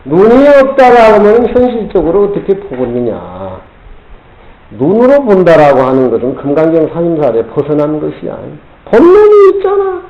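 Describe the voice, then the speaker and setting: An older man teaching a Buddhist scripture to a group of students as a Zen teacher, talking gruffly and constantly prodding them with "eh?". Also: fairly high pitch, wildly swooping pitch, normal speed, warm tone. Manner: gruff, keeps prodding listeners with "eh?". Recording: very echoey, dull lo-fi tape with faint hiss.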